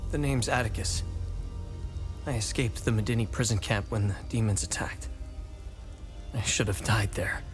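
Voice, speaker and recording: A man's voice: fairly low pitch, normal speed, long pauses, faint music behind, lightly acted. Actor as a weary, earnest male survivor. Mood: wary, weary. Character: weary male prison-camp escapee, earnest and protective. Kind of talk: video game cutscene dialogue.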